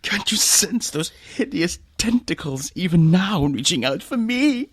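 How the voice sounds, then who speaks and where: An actor playing a tormented, half-mad aristocrat in a radio drama, theatrical and feverish, swinging between hushed and frantic delivery. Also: male voice, high voice, sing-song, a little slow, husky, full character voice. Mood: anguished, raving. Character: tormented, half-mad male aristocrat, last of a cursed line. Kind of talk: melodramatic radio drama acting.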